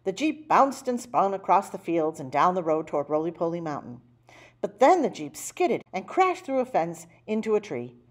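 Expressive woman reading a storybook, lively pitch. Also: normal speed. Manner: animated, acting out dialogue. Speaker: storybook reader. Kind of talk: expressive children's picture-book read-aloud.

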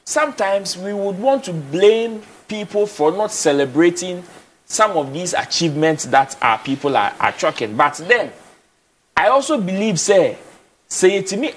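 High-voiced Ghanaian man, animated talk. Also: moderate pace, a little hiss or hum. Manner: animated, gestures, mixes Twi and English, repeats words. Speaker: studio guest. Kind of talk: casual TV studio discussion. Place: TV studio, indoors.

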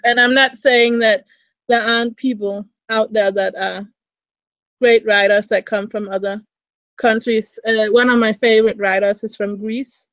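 A woman's voice: mid-range voice, a bit bright, dry recording. Young Danish woman on a dull webcam. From Denmark. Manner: rambling, frequent restarts and fillers. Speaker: interviewee, fan fiction writer. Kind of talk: casual video call interview. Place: small indoor room. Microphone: webcam mic on a video call.

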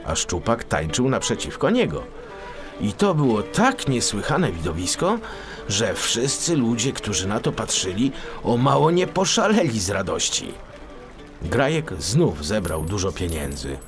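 A man narrating a scripted children's fairy tale audiobook in an expressive, theatrical storytelling manner. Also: fairly low pitch, measured pace, music in the background.

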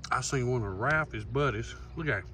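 A man's voice: mid-range voice, a little fast. A middle-aged man, chatting folksy to viewers while fishing from a boat on a lake. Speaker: fishing channel host. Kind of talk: casual fishing vlog talk. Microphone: camera on the boat, phone selfie. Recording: loud outdoor noise behind.

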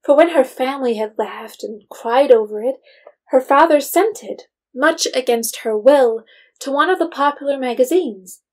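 A woman's voice: high voice, normal speed, darkish tone. A woman narrator reading a scripted period story as storytelling narration.

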